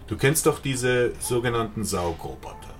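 An actor playing a chatty, knowing police colleague in a radio drama, explaining things wryly. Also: male voice, medium pitch, animated, normal speed, slight background noise, natural voice. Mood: informative. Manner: wry, explanatory.

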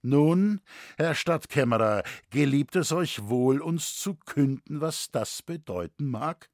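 A man's voice: fairly high pitch, expressive, takes it slowly, a little mellow, no echo, studio quality. A man voicing an imperious, indignant cathedral master in a story, with grave, archaic formal speech. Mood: sharp, demanding. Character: commanding, indignant male cathedral master and people's leader.

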